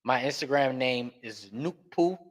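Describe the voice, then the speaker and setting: A man's voice: mid-range voice, lively intonation. Earnest man speaking emphatically. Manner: earnest, emphatic, speeds up and slows down for stress. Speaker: podcast guest. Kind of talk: candid interview talk.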